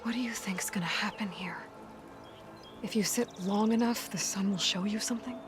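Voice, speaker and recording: An actress playing a pragmatic young heroine in a video game, frank and understated, reasoning with wry compassion. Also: female voice, mid-range voice, normal speed, close to an everyday voice. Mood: wry concern. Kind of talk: video game cutscene acting. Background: music.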